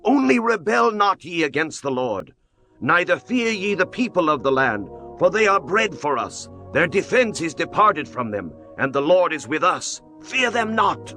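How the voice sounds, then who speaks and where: Male actor as bold biblical scout, fairly high voice, swooping intonation, earnest, emphatic, music underneath. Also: big pitch swings, moderate pace, bright, forceful tone, exaggerated voice. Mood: urging. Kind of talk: dramatized scripture reading.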